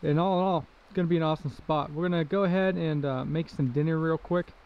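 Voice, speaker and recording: A man's voice: a little high, steady tone, fast, smooth, dull audio. A man chatting casually for his camping vlog at an outdoor forest campsite, rambling with lots of "uh" and "like". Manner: laid-back, rambling with many "uh" and "like" fillers. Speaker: overland camping vlogger.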